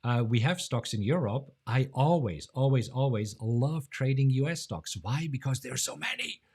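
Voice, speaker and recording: German accent guy doing a live q&a stream, jokey and chatty, laughs a lot, voice jumps way up sometimes, medium pitch. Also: animated, normal speed, a little mellow, husky. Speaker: host of a live trading show.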